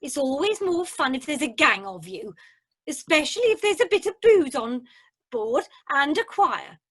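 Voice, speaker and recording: A woman's voice: high-pitched, big pitch swings, normal speed, dry recording, clean studio sound. A woman playing an eager, chatty cruise passenger in an amateur radio drama. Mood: cheerful, chatty. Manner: eager, sociable. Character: chatty, sociable woman passenger from the Welsh valleys.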